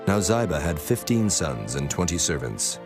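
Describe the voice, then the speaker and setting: Very deep male scripture narrator. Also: very animated, moderate pace. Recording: music bed under the voice.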